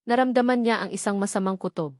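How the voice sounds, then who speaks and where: A woman's voice: mid-range voice, quick pace, a little mellow, dry recording. Filipino woman narrating a dramatic story, dry sound.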